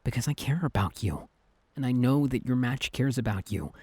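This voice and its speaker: A man's voice: medium pitch, lively intonation, normal speed, mellow, breathy edge, dry recording. A man playing a possessive, exasperated young Cupid who is secretly lovesick, in a conversational, emphatic boyfriend roleplay audio drama. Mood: earnest, flustered. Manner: conversational, exasperated, emphatic, hesitant when flustered.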